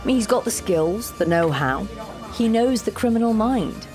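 An actress playing an idealistic, excitable screenwriter in an audio drama, earnest and wordy, a little anxious. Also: female voice, on the high side, normal speed, faint music behind, lightly acted. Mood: earnest pitching. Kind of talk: audio fiction acting.

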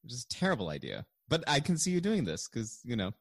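A man's voice: mid-range voice, huge pitch swings, fast talker, dry recording, studio quality. A man hosting a podcast in a casual, jokey, rambling way, with lots of restarts and laughs. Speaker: podcast host.

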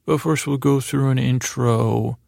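A man hosting a bedtime podcast in a lulling, soothing, creaky voice, meandering and trailing off mid-thought. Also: medium pitch, fairly even intonation, unhurried, darkish tone, no room sound, studio quality. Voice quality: very creaky, gravelly. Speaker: podcast host. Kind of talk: rambling bedtime-story podcast monologue.

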